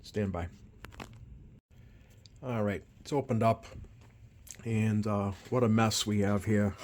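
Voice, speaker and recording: A man with a lowish voice talks through a hands-on repair as a technician would. His delivery is unscripted and halting, with thoughts that trail off and pick up again. A light layer of background noise sits under the voice. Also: fairly even intonation, a little mellow. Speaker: CB radio repair technician and channel host.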